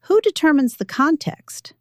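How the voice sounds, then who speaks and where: A woman narrator voicing a shrewd older woman who asks measured, formal, probing questions in a story. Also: high voice, animated, no room sound, studio quality, voice pushed up. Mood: coolly probing.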